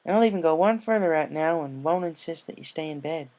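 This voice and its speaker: A grown farm mare from a storybook, acted out by a man. The voice is lifted a little in pitch. She talks in a firm, folksy, plain-spoken way, stern but caring. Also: expressive, quick pace, darkish tone, close to an everyday voice. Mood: caring, upbeat.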